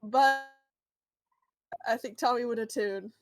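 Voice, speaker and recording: A woman's voice: fairly high pitch, takes it slowly, soft background music. A woman playing a tabletop role-playing game, chatting casually in and out of character in a hesitant, rambling way.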